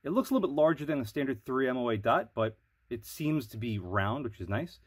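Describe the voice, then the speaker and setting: A man with a head cold, sounding nasal, giving a measured product review as the channel's host. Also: medium pitch, expressive, breathy edge, no room sound. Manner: measured, explanatory.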